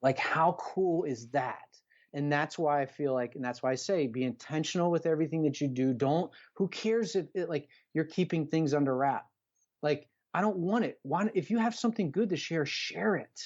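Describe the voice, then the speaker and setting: A man's voice: on the high side, moderate pace, a little mellow. Dull-sounding recording of an earnest, emphatic man. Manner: earnest, emphatic, repeats phrases, rhetorical questions. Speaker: podcast guest, coach. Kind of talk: conversational motivational talk.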